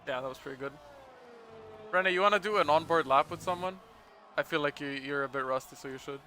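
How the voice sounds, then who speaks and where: A man's voice: a little high, brisk, sharp tone, slight background noise. Laughing, chatty man calling a sim race. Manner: chatty, laughs often, trails off with fillers. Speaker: race commentator. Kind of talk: casual live sim-racing commentary.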